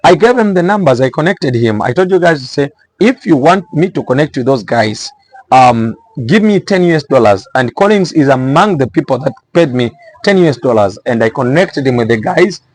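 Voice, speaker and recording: A man's voice: medium pitch, expressive, moderate pace, poor audio. Zambian man explaining business to viewers. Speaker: vlogger giving small business advice.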